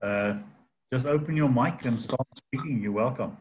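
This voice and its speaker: A middle-aged man hosting a video call interview from a home office, asking questions in a relaxed, conversational way. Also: medium pitch, normal speed. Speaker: host of virtual trade fair. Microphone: laptop mic on video call. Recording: video call with faint background noise.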